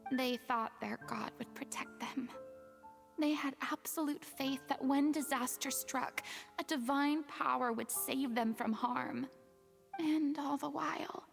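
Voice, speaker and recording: An actress playing a lonely, haunted young woman who was once a stand-in god in an anime game, speaking in a formal, wistful, confessional way. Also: sits high. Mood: haunted, grieving. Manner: confessional, formal, wistful, breathy at phrase ends. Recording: music behind. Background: game music.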